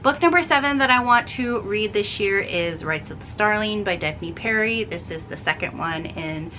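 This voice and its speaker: A woman's voice: mid-range voice, expressive, moderate pace, pushed and bright, slight background noise, poor audio. A woman giving a casual, chatty book vlog, rambling along and correcting herself as she goes. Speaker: book vlogger.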